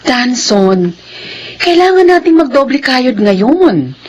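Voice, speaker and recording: A fretful, struggling wife. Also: female voice, sits high, very animated, slow, a little mellow, husky, full character voice. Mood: worried. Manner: pleading, exasperated. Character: worried laundrywoman wife, mother of two. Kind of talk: radio drama acting.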